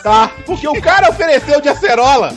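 A man's voice: very high voice, lively intonation, a little fast, loud music behind the voice. A man in a casual podcast chat, mocking and bickering with his friends. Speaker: podcast co-host.

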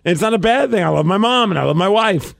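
A man in his thirties trades jokes as co-host of a casual podcast. His pitch swings widely as he talks, with frequent stammered restarts. The recording is close and dry. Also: expressive, gravelly, fairly bright tone, no echo. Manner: joking, quick banter with stammered restarts. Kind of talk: casual chat.

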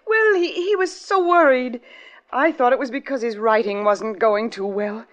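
A woman's voice: fairly high pitch, normal speed, natural voice. A woman playing a worried society wife. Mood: anxious. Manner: worried, hesitant. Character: anxious wealthy wife of a missing writer. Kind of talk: 1940s radio acting. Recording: dull 1940s radio broadcast.

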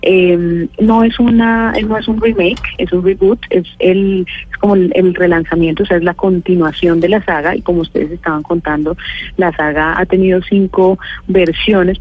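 A woman's voice: fairly low pitch, fairly even intonation, normal speed, no room sound. A woman with a Colombian accent, giving a phone interview as an actress and talking through her answers with many fillers and restarts. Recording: tinny phone line, dry.

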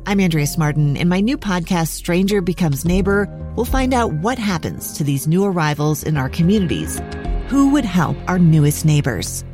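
A woman's voice: on the low side, big pitch swings, moderate pace, darkish tone, soft background music. A woman journalist reading scripted narration for a podcast promo.

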